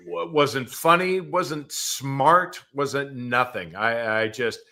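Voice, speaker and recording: A man chatting casually as a podcast guest, animated and self-deprecating, often repeating his words as he tells an anecdote. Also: mid-range voice, takes it slowly. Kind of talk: casual chat, telling anecdotes.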